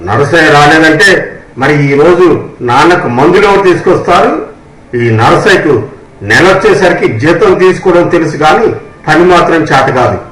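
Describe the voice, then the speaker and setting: A man's voice: a little high, animated, moderate pace, fairly bright tone. A man playing a wealthy, miserly village landowner in a moral story, cunning, bossy and wheedling. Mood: bossy, grumbling. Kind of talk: scripted moral story dialogue. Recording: roomy echo on the voice.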